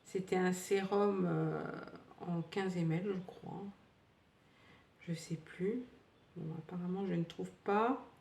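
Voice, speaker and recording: A woman's voice: lowish voice, slow pace, creaky, pauses often, a little mellow. A woman in her fifties reviewing used-up beauty products in a casual, rambling way, with many fillers and restarts. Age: 50s. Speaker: beauty vlogger. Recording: echoey room, distant from the mic.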